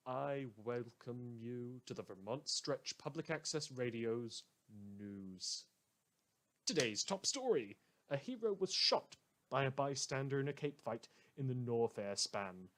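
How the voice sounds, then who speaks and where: A man playing a florid, drily wry radio host who reads scripted news with dramatic, deliberate emphasis. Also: mid-range voice. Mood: grandly welcoming, then solemn, storytelling. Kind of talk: scripted fictional community radio news monologue.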